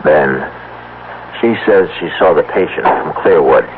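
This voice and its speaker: An actor in a radio drama playing a worried, skeptical husband who talks in a questioning, impatient, emphatic way. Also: male voice, very deep voice, lively intonation, a little slow. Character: skeptical, worried husband pressing for rational answers. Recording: tinny, band-limited, noisy old radio broadcast.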